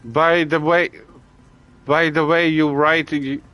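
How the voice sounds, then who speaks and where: Latvian male streamer, slow halting chat, bright voice, game music behind. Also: fairly high pitch, flat delivery, low-quality recording. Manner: halting, many restarts and fillers. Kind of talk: casual gameplay chat with viewers. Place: home gaming setup.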